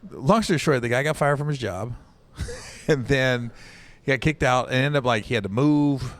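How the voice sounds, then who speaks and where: A man's voice: medium pitch, normal speed, dry recording. Comedian guy telling road stories, keeps going "right?", acts out what people said. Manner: animated storyteller, acts out quotes, says "right?" often. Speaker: comedian guest. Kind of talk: casual podcast chat.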